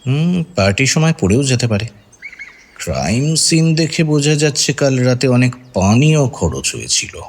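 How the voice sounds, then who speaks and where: An actor playing a composed senior detective who asks probing questions conversationally in an audio crime drama. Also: male voice, mid-range voice, sing-song, normal speed. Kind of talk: Bengali radio detective drama acting.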